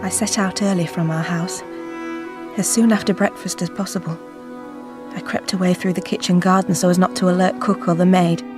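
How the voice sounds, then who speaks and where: An actress as a sheltered Victorian gentlewoman telling her tale in a radio drama. She is composed, formal and low-pitched, with faint music behind. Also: steady tone, brisk, natural voice. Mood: secretive, composed. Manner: formal, composed, old-fashioned diction. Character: resolute, sheltered nineteenth-century gentlewoman recounting her journey. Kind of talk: dramatised first-person narration.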